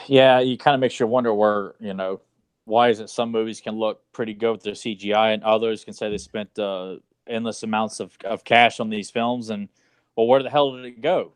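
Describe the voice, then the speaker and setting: A man's voice: on the low side, fairly even intonation, normal speed, smooth, dry recording. A man co-hosting a casual movie podcast, talking in an exasperated, sarcastic way with loose, salty language. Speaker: podcast co-host.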